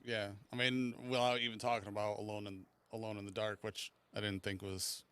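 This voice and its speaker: A man's voice: on the low side, little pitch movement, a little fast, very noisy. A man hosting a casual discussion, moderating in a hesitant, rambling, unscripted way. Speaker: podcast host.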